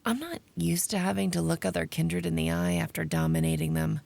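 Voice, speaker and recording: Very creaky, sardonic vampire woman. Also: on the low side, steady tone, a little fast, darkish tone. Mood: uneasy. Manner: wry, profane, conversational. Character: Aurora, sardonic young female vampire researcher narrating her exploits. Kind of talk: first-person audio drama narration and dialogue.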